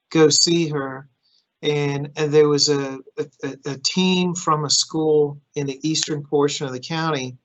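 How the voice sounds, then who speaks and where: A man's voice: on the high side, dull audio. A middle-aged man whose first language is Greek, a county manager answering questions at a public forum in an earnest way that repeats words as he starts phrases.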